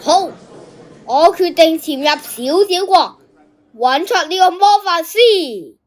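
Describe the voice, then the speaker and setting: A woman's voice: extremely high pitch, lively intonation, slow, heavily acted. A woman playing a commanding, anxious giant king in a children's radio play, speaking urgently and decisively. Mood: resolute. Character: worried, commanding giant king of a big country.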